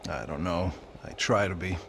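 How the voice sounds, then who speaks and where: A man's voice: low voice, normal speed, noisy background. An actor playing a weary, self-pitying rich Brazilian businessman in a cinematic video game. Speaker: wealthy businessman client. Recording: music behind the voice.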